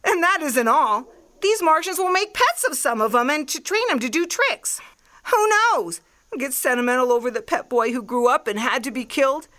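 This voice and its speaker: A woman performs a scheming, fanatical artillery woman for an audio drama. Her voice sits fairly high and cuts through sharp and intense. She rants with cocky, folksy, colloquial swagger. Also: lively intonation, moderate pace. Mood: scornful, cocky. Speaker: acting class student.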